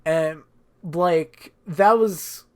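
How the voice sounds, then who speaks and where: A man's voice: fairly high pitch, very slow, smooth. A man giving a casual, unscripted video game recap in a rambling, slangy way with plenty of swearing. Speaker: video creator.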